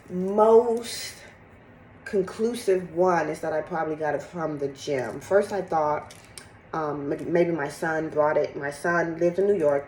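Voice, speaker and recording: A middle-aged woman with a slightly raspy, congested voice, chatting candidly and casually in a rambling personal vlog. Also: low-pitched, a little mellow, reverberant, a little hiss or hum, poor audio. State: sick with congested cough. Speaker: fitness vlogger. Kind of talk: casual chat to camera, personal update.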